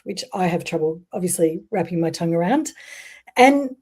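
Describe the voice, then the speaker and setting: Mellow-voiced woman hosting an interview. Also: mid-range voice. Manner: friendly, loosely phrased questions with fillers. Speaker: host.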